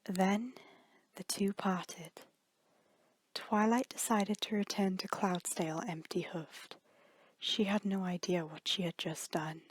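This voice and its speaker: A woman's voice: mid-range voice, steady tone, slow, husky, dry recording. A woman narrator reading a scripted story in a dramatic storytelling style.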